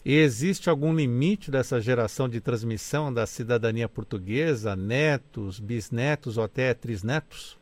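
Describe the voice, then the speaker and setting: A man's voice: mid-range voice, moderate pace, hardly any pauses. A man hosting an interview, asking polite, formal questions.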